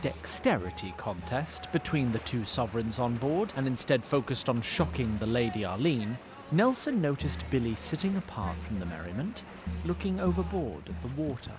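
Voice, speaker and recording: An actor playing a wry storyteller, reading scripted fantasy audio drama narration. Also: male voice, medium pitch, very animated, normal speed, close to an everyday voice. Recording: clear music behind.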